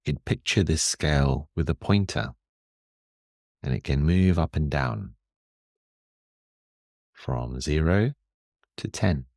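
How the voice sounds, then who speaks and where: Super deep voiced guy doing guided meditation, really slow n calm, dry close sound. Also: no room sound. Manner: calm, soothing, measured with long pauses.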